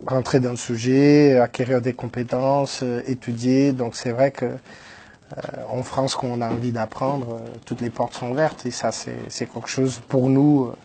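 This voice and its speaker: A man's voice: medium pitch, flat delivery, measured pace, mellow, a little hiss or hum. Hesitant man speaking French. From Brazil. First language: Portuguese. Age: 30s. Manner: hesitant, many fillers and restarts. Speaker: guest, entrepreneur. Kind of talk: radio interview, telling his life story.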